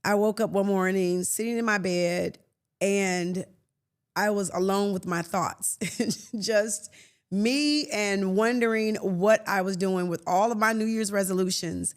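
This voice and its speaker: A woman in her late fifties hosts a faith podcast in a reflective monologue. She talks earnestly and conversationally, with soft laughs and frequent restarts. Her voice sits in a middle pitch. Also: measured pace. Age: 50s. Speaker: podcast host.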